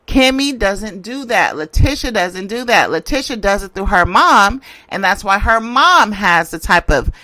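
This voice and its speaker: A woman's voice: medium pitch, lively intonation, measured pace, few pauses, bright, forceful tone, no room sound. A woman giving a casual tarot reading and commentary in a conversational, emphatic way, repeating phrases to drive points home. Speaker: tarot reader and channel host.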